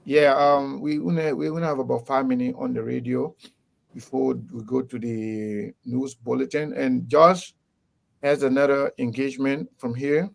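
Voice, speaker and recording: Creaky-voiced man hosting. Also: medium pitch, moderate pace. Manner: animated, laughing, repeats words. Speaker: host. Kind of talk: casual interview on a live show.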